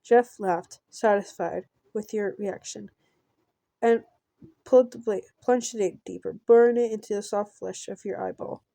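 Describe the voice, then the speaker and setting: Girl doing a visual novel lets play, reads slow n stumbly, soft dark tone, no room echo. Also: medium pitch. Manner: halting delivery, stumbles and repeats words while reading. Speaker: gamer reading a visual novel aloud. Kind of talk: amateur let's play, reading game text aloud.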